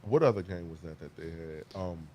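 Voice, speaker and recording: A man in his thirties hosting a casual gaming chat, animated and emphatic, often restarting his sentences. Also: deep voice, moderate pace. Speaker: podcast host.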